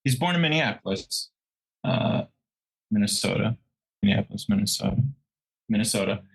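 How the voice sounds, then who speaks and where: A man's voice: lowish voice, lively intonation, moderate pace, gravelly, slightly rough, low-quality recording. Male true crime co-host. Conversational storyteller who stumbles, backtracks and corrects himself as he goes.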